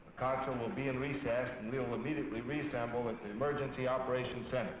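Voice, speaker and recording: A man's voice: mid-range voice, steady tone, quick pace, slight background noise. A man playing a mayor who formally addresses a city council in a 1950s drama. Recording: echoey hall, dull old film sound.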